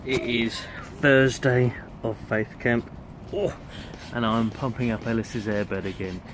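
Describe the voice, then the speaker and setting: A man's voice: mid-range voice, fairly even intonation, slow. A man in his thirties talking casually to camera as a camp vlogger, outdoors on a windy campsite. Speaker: vlogger and youth camp leader. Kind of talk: casual chatty vlog narration. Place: outdoors on a campsite, under a tent awning. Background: wind and outdoor ambience.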